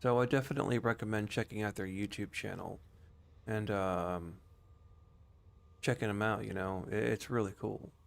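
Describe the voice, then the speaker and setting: A man's voice: lowish voice, steady tone, normal speed, gravelly. A man streaming a game with casual, rambling, conversational commentary.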